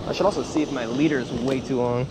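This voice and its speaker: A man fishing from a beach and talking to an action camera, chatty and self-mocking, with bursts of excitement whenever he thinks a fish is on. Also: medium pitch, fast. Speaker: fishing vlogger. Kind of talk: self-deprecating casual vlog narration. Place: beach surf, outdoors. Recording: windy outdoor beach audio with surf noise. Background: wind and crashing waves.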